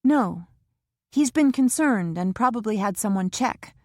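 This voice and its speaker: An anxious, impulsive young woman, read by a woman narrator, pitched higher than the narration and pleading in tone. Her speech is eager and quick to protest, and the recording is close and dry. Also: lively intonation, no room sound.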